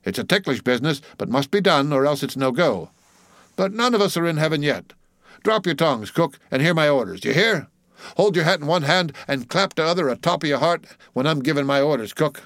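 A man's voice: a little high, expressive, brisk, fairly bright tone, no echo, studio quality, voice pushed up. A man voicing a bossy ship's officer in an audiobook sea story, commanding and teasing with mock solemnity. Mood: hectoring.

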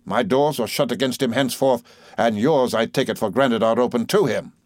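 A man's voice: mid-range voice, normal speed, no room sound, pitched above the performer's own voice, close to an everyday voice. Stern, cold middle-aged man, read by a male narrator, formal and unyielding. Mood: coldly stern.

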